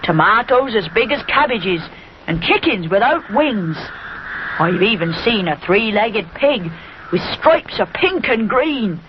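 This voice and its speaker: Woman doing a slow, drawling country farmer. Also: medium pitch, big pitch swings, muffled recording, slight background noise. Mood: astonished. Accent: rustic English. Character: jovial, doubting old country farmer. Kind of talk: children's storybook character voice.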